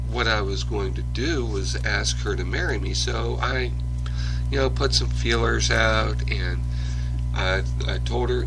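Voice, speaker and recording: Male radio host for reflective monologue: very unhurried, halting, rambling delivery over clear background music. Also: on the low side, poor audio. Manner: halting, rambling, self-correcting. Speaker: host of a religious radio broadcast. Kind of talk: informal reflective monologue with personal anecdotes.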